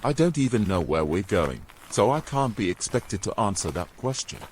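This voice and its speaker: Man acting a sardonic, grumbling adventurer, swooping low voice. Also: big pitch swings, moderate pace, slight background noise. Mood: exasperated. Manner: sardonic, haughty, formal phrasing. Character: grumbling, exasperated young adventurer carrying a mermaid. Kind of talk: audio drama acting.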